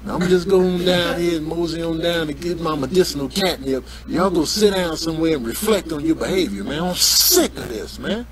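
A man voicing a streetwise, trash-talking animal in a comedy voice-over, with slangy, repetitive banter, excitable and cocky. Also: a little high, brisk, few pauses, a crowd in the background, lightly acted. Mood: fed up.

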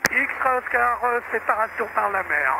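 A man's voice: very high voice, animated, measured pace, vocal fry. A man making pilot radio calls through a VHF aviation radio. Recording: very noisy aviation radio transmission.

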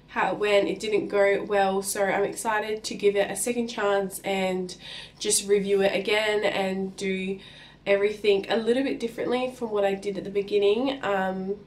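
Slow-talking young woman with a cold. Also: medium pitch. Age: young adult. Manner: chatty, unhurried, trailing off mid-sentence. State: slightly stuffy from a cold. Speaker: beauty vlogger. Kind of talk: casual makeup review. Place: small indoor room, plain wall.

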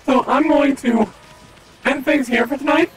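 A man streaming a game live who chats casually and upbeat to his viewers, with a slightly raspy voice. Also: extremely high pitch, steady tone, measured pace, music in the background, low-quality recording. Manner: chatty, upbeat, talks to chat.

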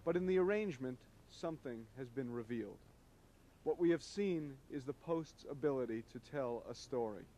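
A middle-aged man delivering scripted commentary to camera on a city street, speaking in solemn, measured, emphatic phrases into a handheld microphone. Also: medium pitch, dark tone. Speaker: host, media critic. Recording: noisy old videotape street recording.

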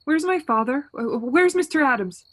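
A woman's voice: high voice, animated, moderate pace, fairly bright tone. An actress playing a haunted young woman traveller in a suspense radio play, with a theatrical, brooding and fraught manner. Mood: alarmed. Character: Ronnie Adams, haunted young woman traveller narrating her ordeal. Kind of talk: suspense radio play acting, dramatic monologue. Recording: music bed under home-recorded radio play.

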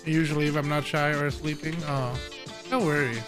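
Higher-voiced male streamer over loud music. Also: fairly even intonation, normal speed. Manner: swears casually; friendly, welcoming to viewers. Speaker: live streamer and host. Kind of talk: casual livestream chat while gaming. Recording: loud game music behind. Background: rhythm game music with guitar.

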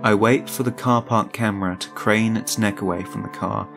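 A man's voice: lowish voice, steady tone, normal speed, gravelly. A man reading a scripted first-person horror story as its narrator. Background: music.

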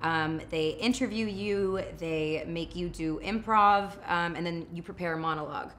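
A young woman whose first language is Polish, raised in New York, chatting casually as an interview guest on a sofa in a small room, candid and quick to laugh. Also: on the low side, fairly bright tone, a little hiss or hum. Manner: laughing, playful, candid storytelling. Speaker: guest actor.

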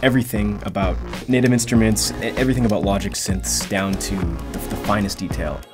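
A man's voice: lowish voice, fairly even intonation, fairly quick, over music. A man speaking casually in an interview testimonial as a student.

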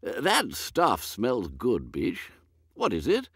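A dotty old british earl, pitched up, wobbling all over, dithery audiobook character. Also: male voice, huge pitch swings, takes it slowly, a bit bright, breathy edge, no room sound, clean studio sound, full character voice. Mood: vaguely curious. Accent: British English. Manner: bumbling, hesitant, repeats himself. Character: vague, dithering elderly English earl.

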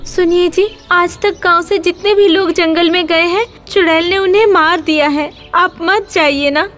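A woman playing a worried, pleading young village wife in an animated Hindi story. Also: extremely high pitch, unhurried, faint music behind. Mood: anxious, pleading.

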